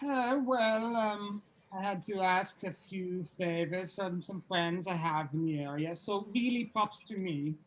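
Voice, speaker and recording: A woman's voice: medium pitch, a little slow, few pauses, background noise, close to an everyday voice. A woman playing a breezy, self-assured bandleader in an amateur script read-through. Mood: breezy, evasive. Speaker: table read participant.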